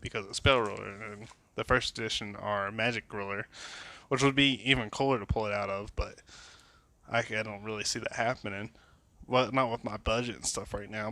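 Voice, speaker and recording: A man rambles through a casual show-and-tell, tipsy and sniffly with a cold, full of fillers and restarts. His voice sits at an ordinary middle pitch. It is recorded close on a phone in a dry, dead-sounding room. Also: pushed and bright, no echo. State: tipsy from drinking. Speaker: card collector vlogger. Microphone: phone camera.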